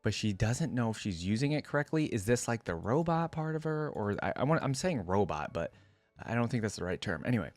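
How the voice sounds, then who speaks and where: A man's voice: lowish voice, sing-song, a little fast, no echo. A man hosting a reaction video, reading a story aloud and commenting on it casually.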